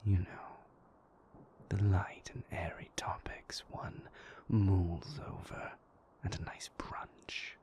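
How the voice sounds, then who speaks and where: A man playing a moody, brooding vampire in an intimate audio roleplay, hushed and gravelly, speaking deliberately with weary, dry wit. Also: fairly even intonation, whispered. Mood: dry, guarded. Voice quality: breathy, hushed, gravelly low voice. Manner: slow, deliberate, formal wording, dryly wry.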